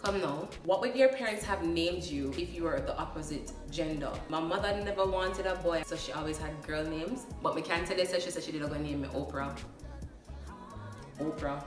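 Low-voiced Jamaican woman vlogging casually. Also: moderate pace, reverberant, distant mic, music in the background. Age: young adult. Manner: chatty, playful, laughs often, slips into patois. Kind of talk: casual get-to-know-me vlog. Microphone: phone camera at a short distance.

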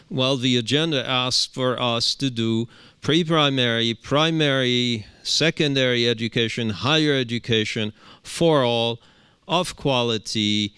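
A middle-aged man speaking on a panel into a handheld mic, halting often with fillers and restarts. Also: fairly low pitch, a bit bright, smooth, no echo. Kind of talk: unscripted panel remarks. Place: conference room.

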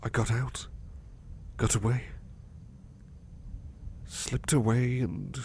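A man playing a gentle, anxious, sleepy male servant in a soft ASMR roleplay, speaking hesitantly and repeating his words. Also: mid-range voice, steady tone, extremely slow, vocal fry, a little hiss or hum. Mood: shaken, subdued. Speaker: roleplay voice performer.

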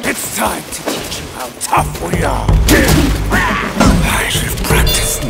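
A man playing a boastful, vengeful bear demon in a theatrical video game cutscene, gravelly and menacing, with music behind. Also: a little high, sing-song, slow, full character voice. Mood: brooding menace. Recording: loud cinematic music behind.

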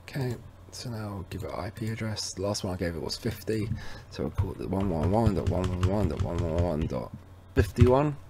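A man presenting an informal, instructional software walkthrough, talking casually to viewers as he goes. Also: low voice, animated, moderate pace, noisy background. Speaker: tech tutorial presenter. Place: indoors at a computer, voice over screen recording.

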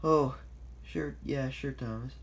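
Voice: mid-range voice, slow, creaky, voice pushed up. A storyteller voicing a sleepy, grumpy female engine who groans and gives in grudgingly. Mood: sleepy, annoyed.

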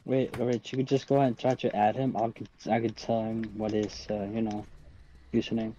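Non-native English-speaking male gamer, dark tone, faint clicks behind. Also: on the low side, little pitch movement, brisk, highs cut off, slight background noise. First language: not English. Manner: short, puzzled replies. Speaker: gaming friend. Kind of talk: casual gameplay chat.

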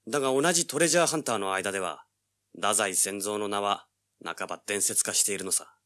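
Cocky, low-voiced young treasure hunter. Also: male voice, a little fast, sharp tone. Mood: cool, knowing. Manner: brash, wry, rough-spoken. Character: cocky, hard-boiled young male treasure hunter narrating his adventure. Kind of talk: dramatized audiobook acting with first-person narration.

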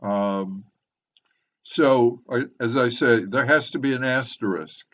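An older man speaking in a measured, deliberate, professorial way as he moderates an online book discussion. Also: fairly bright tone. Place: indoors, on a video call. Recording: muffled, low-fidelity video call.

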